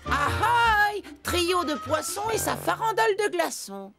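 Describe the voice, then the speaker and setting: A woman voicing an excitable young male penguin in a children's cartoon, playful and full of exclamations. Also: extremely high pitch, unhurried, creaky, music in the background, full character voice. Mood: excited. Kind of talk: children's cartoon dialogue and letter narration.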